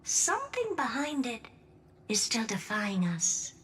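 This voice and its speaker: A woman's voice: fairly high pitch, wildly swooping pitch, slow pace, a bit bright, husky, exaggerated voice. A woman voicing a brilliant, haughty, flippant scientist in a video game, breezy, self-assured and teasing. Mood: musing, dry.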